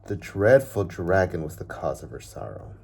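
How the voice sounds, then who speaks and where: A man with a very low, husky voice reads a children's storybook aloud. His phrasing is measured and deliberate, and the ends of his lines often drop away softly. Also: sing-song.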